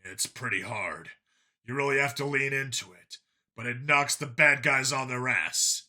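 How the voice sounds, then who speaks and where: A man voicing a gruff, stubborn cop and urban gunfighter in an audiobook, dry and emphatic. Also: mid-range voice, a little slow, bright, forceful tone. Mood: dry, wary. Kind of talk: acted audiobook dialogue.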